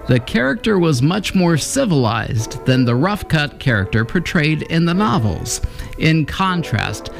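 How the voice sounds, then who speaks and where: A man reading a scripted introduction as host of a vintage radio program. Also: fairly high pitch. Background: music.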